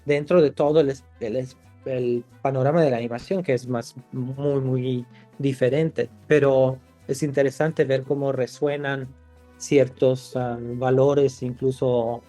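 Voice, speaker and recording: A man's voice: medium pitch, fairly even intonation, measured pace, warm tone, soft background music. A man from Italy, a screenwriter guest in a podcast interview, talking thoughtfully with frequent restarts. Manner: thoughtful, many restarts and fillers.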